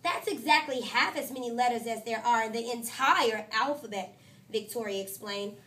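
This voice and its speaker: A woman teacher reading a picture book aloud to her class, with lively, expressive storytelling. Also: moderate pace, far from the mic. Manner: expressive storytelling, voices quotes higher and louder.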